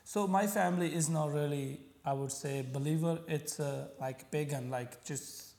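Pakistani man with a mellow voice. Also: on the high side, gravelly. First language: Punjabi. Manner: halting, searching for words, frequent fillers. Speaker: podcast guest. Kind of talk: conversational interview.

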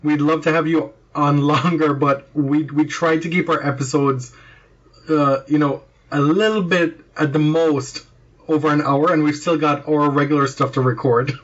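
A man's voice: on the high side, steady tone, normal speed, fairly bright tone, lo-fi sound. A man hosting a podcast, chatting casually about sport in an informal, opinionated way. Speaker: podcast host.

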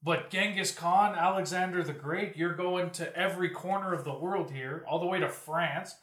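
A man with a somewhat high voice talks casually as a podcast co-host. He is opinionated, jokey and profane. The sound is noticeably echoey and roomy. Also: moderate pace, a bit bright. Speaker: podcast co-host posing questions. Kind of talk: casual chat.

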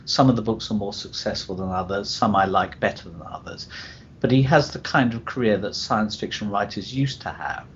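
A man speaking as a publisher in an informal panel discussion, halting and repeating words as he goes. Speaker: publisher, podcast guest. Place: live convention recording over internet call. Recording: muffled lo-fi internet call with rumbling noise.

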